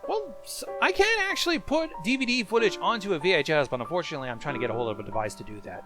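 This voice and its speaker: A man's voice: a little high, big pitch swings, rapid-fire, over music. A man hosting a reaction show, giving casual explanatory commentary on horror videos.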